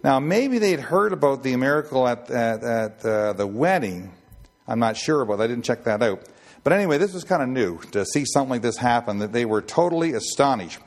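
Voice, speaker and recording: A man in his sixties with a raspy voice, giving an informal Bible teaching and rambling with many fillers and restarts. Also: medium pitch, expressive, a little fast. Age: 60s. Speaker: ship chaplain preacher.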